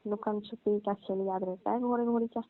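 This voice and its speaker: A woman's voice: mid-range voice, fairly even intonation, moderate pace, faint music behind, natural voice. A young woman playing a coy, teasing female cousin in a casual, colloquial phone chat. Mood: coy reminiscing. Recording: thin narrow-band phone line.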